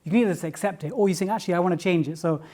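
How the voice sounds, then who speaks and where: A moderator with a middle-pitched voice runs the panel and thanks each speaker. The tone is appreciative and brisk as they hand over to the next guest. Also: warm tone.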